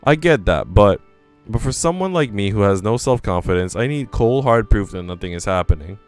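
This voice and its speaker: Low-pitched, clear-voiced man reading aloud. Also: expressive, moderate pace, soft background music. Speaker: streamer reading a visual novel aloud. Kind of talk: live read-aloud of visual novel narration with casual asides.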